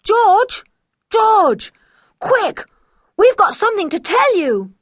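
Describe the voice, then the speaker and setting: A woman's voice: on the high side, big pitch swings, normal speed, breathy edge, dry recording, clean studio sound, pitched above the performer's own voice. A woman voicing a sensible, polite older boy in a storybook reading, speaking urgently and politely.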